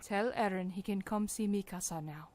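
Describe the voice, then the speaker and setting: A woman acting as a careful doctor in an audio drama, mid-pitched and gentle. She sounds professional and reassuring, with faint noise and ambience behind her. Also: normal speed, lightly acted. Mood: businesslike. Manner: gentle, professional bedside manner. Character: adult female doctor, reassuring, patient, gently cautious.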